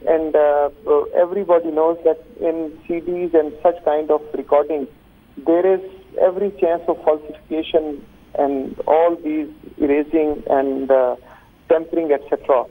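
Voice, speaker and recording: A man with a Pakistani accent speaking as a lawyer in a news interview, formal, legalistic and halting. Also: on the high side, fairly even intonation. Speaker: defence lawyer, interview guest. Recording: thin, narrow phone-like line.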